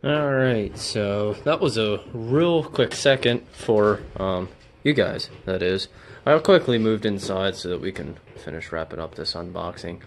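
A man's voice: on the low side, lively intonation, a little slow, a little hiss or hum. A man casually walking viewers through unboxing a tool indoors, talking in a chatty, informal way with many fillers and restarts, filmed on a handheld phone. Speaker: video host doing a tool unboxing. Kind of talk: casual hands-on demonstration. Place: indoor room with wooden workbench. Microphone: handheld phone camera, first-person view.

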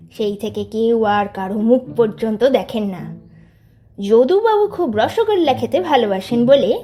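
A woman's voice: on the high side, dark tone, voice pushed down. A woman voicing a boastful teenage boy who leads his gang, spinning a tall tale in a teasing tone. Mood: scornful bragging. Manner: bragging, teasing storyteller tone. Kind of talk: storybook reading of a figure's tall tale.

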